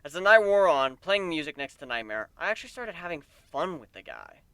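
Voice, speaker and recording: Male amateur storyteller, expressive and lively, bright tone, dry sound. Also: on the high side, brisk, smooth, dry recording. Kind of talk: dramatic reading of fan fiction.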